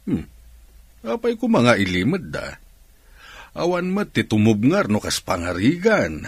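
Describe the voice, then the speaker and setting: Radio drama boss: Ilocano accent, serious, probing, very hoarse-edged rasp, animated intonation. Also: male voice, medium pitch, measured pace, no echo, heavily acted. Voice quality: raspy.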